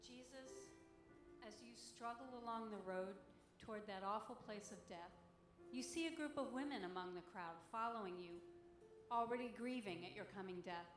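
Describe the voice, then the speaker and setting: A woman's voice: mid-range voice, moderate pace. A woman giving a solemn devotional reading in a grave, reflective manner. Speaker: church reader. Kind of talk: solemn devotional dramatic reading addressed in second person. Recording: echoey room, music over voice. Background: music.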